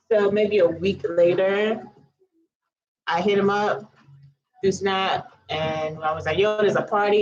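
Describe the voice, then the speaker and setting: A woman's voice: lowish voice, lo-fi sound. A woman of about thirty, telling a personal story casually in a chatty, slangy way full of asides. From US Virgin Islands. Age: around 30. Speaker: storytelling vlogger.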